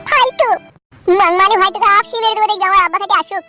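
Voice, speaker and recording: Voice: extremely high pitch, racing. A performer voicing a brash, hot-tempered young boy in an amateur cartoon comedy. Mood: indignant. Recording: loud music under the voice.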